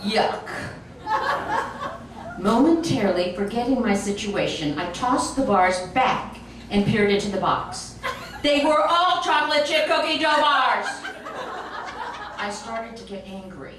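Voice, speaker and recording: A woman's voice: fairly high pitch, measured pace. Echoey, distant woman reading a comic story. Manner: animated, expressive storytelling. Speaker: reader. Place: echoey room, recorded from a distance. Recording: very echoey room, distant mic, noisy.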